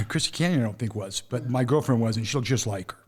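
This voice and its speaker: Interview guest, man in his 50s, mid-pitched, rambly anecdote-teller. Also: fast, husky. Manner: rambling storyteller, often asks "you know what I mean?". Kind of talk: casual interview chat.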